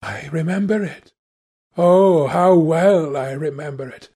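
A man voicing a vain, bitter young gentleman, passionate and accusing, in a theatrical, intense audiobook style. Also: fairly high pitch, slow, breathy edge. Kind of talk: dramatic audiobook character voice.

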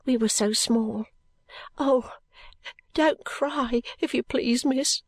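A woman voicing a timid, tearful, grateful little girl maid in an audiobook, with soft, breathy, halting and deferential unschooled speech. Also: on the high side, no echo, studio quality, voice pushed up. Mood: tearful, earnest. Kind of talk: audiobook character voice.

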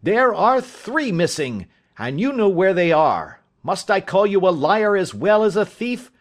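Audiobook role: furious, accusing father of mature years, played by a male reader, declamatory, raised pitch. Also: sing-song, measured pace. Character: outraged banker father, shouting at his son. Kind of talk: audiobook character dialogue.

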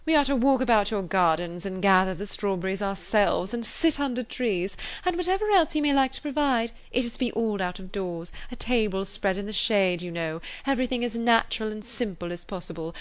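A woman's voice: medium pitch, lively intonation, clear, resonant tone, close to an everyday voice. A woman voicing a pompous, chattering married society lady who gushes and drags out her emphasis. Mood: bossy. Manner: gushing, self-important, drawn-out emphasis. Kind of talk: audiobook character reading.

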